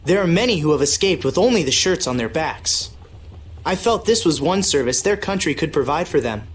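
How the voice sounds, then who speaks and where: A man playing a gentle, courteous young nobleman in a video game, speaking formally and earnestly in measured phrases. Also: fairly high pitch, lively intonation, a little hiss or hum, close to an everyday voice. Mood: grave, earnest. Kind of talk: English video game dub.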